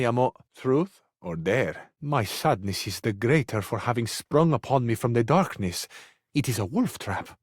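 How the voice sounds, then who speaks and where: A man's voice: medium pitch, no echo, clean studio sound. A man voicing a suave, passionate living cabinet in a video game, with an Italian accent and a florid, flirtatious, earnest way of talking. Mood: tender, romantic, then sorrowful. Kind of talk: theatrical romantic video game voice acting.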